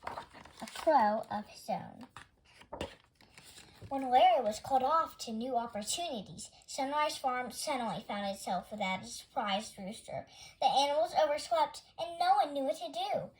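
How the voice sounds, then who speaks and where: A woman reading a children's picture book aloud as expressive storytime narration. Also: high-pitched, normal speed, slight background noise, low-quality recording. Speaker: storytime reader.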